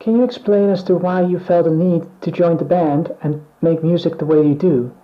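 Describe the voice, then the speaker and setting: A man acting in a scripted mock band interview, speaking in a measured, plain and earnest way. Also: a little high, steady tone, muffled recording, lightly acted. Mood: calm, earnest.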